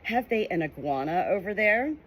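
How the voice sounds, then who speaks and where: A woman voicing excitable, curious monkeys in a children's story, eager and exclamatory. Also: medium pitch, moderate pace, slight background noise, natural voice.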